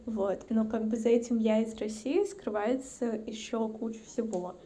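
A woman's voice: fairly high pitch, fairly even intonation, normal speed, dark tone, slight background noise. A woman from Russia's North Caucasus, speaking as a podcast guest in a reflective, casual chat.

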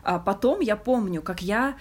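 A young woman with a mid-pitched voice talks as a podcast host. She is frank and reflective, keeping it conversational and often searching for the right phrase. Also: animated, moderate pace, husky. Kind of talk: candid casual conversation.

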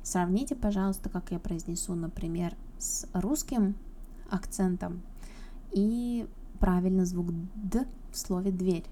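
A woman's voice: on the low side, unhurried, mellow, slight background noise. A woman teaching English pronunciation in a casual video lesson, explaining things in a chatty way and speaking straight to her viewers. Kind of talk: informal video lesson to camera.

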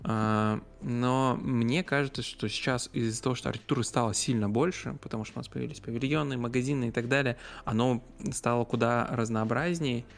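A man's voice: mid-range voice, steady tone, a little fast, vocal fry, hardly any pauses. A man telling stories in a casual conversational style, often searching for words and correcting himself as he goes. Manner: hesitant, searching for words, with repeated self-corrections.

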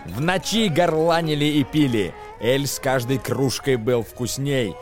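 A man's voice: medium pitch, a little slow, faint music behind. A man, an actor, narrating a tale in dramatic verse for a radio play, with a theatrical storytelling manner.

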